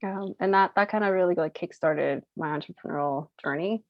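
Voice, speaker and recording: A woman's voice: lowish voice, a little fast, no echo, highs cut off. A woman in her thirties from Colorado whose first language is Cantonese, chatting casually as an interview guest with lots of fillers. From United States (Colorado). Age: 30s. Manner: chatty, uses many fillers and laughs easily. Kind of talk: casual chat, storytelling.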